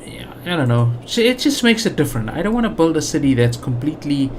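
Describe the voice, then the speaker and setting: Rambling South African gamer, noisy recording. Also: male voice, on the high side, very animated, fast talker. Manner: rambling, thinks aloud with many fillers and restarts. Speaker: gaming channel host. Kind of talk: casual let's play commentary.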